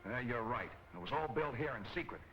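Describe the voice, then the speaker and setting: Breezy confident 1940s serial hero, rich publisher type, talks fast, medium voice. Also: male voice, mid-range voice, lively intonation, sharp tone, slight background noise, poor audio. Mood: confident, friendly. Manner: breezy, clipped, self-assured. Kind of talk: 1940 film serial acting.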